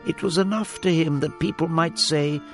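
An actor as the narrator of a radio drama, a man telling the story in a deliberate, wry way. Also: a little high, faint music behind, close to an everyday voice. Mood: wry, dramatic. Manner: deliberate, theatrical.

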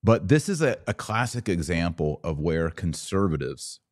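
An American man hosting a political commentary podcast, speaking in a deliberate, emphatic monologue that leans on repeated words for stress. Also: sits low, sing-song. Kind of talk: opinion monologue to camera.

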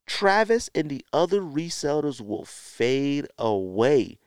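A man's voice: fairly high pitch, very animated, slow pace, smooth, no room sound, clean studio sound. A man hosting a solo podcast, talking in a blunt, exasperated way with emphatic rhetorical questions, like an opinionated rant. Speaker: podcast host.

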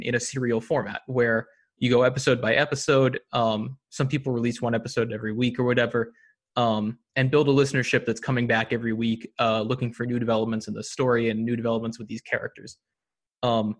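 A man talking as an interview guest, enthusiastic and full of filler words like um and uh. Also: medium pitch. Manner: enthusiastic, many ums and uhs. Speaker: podcast guest, audio drama producer. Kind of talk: casual interview talk.

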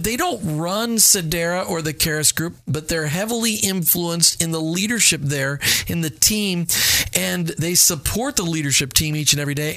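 A man's voice: on the high side, fairly bright tone, no room sound. A man co-hosting a faith-based business talk show, upbeat and chatty as he plugs a sponsor. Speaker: radio show co-host.

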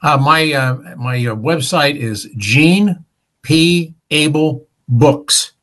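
An older man answering interview questions as a guest author, in a measured, plain-spoken, slightly hesitant way. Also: on the high side, fairly bright tone.

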